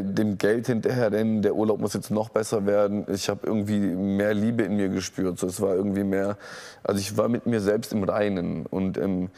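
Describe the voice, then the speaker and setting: Calm low-voiced man, gravelly. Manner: halting, many fillers and restarts, calm. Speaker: talk show guest, aid worker. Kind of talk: candid personal account in a talk show. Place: TV studio set with audience. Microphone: lapel mic.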